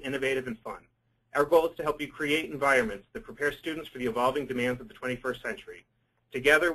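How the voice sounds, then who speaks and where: A man's voice: a little high, sharp tone, slightly rough, rough recording. A man moderating a webinar, reading a formal scripted introduction and housekeeping notes.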